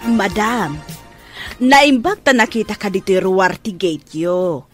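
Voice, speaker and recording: An actress in a radio drama playing a persistent fish vendor who talks in a pleading sales manner. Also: female voice, medium pitch, animated, unhurried, faint music behind. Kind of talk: Ilocano radio drama acting.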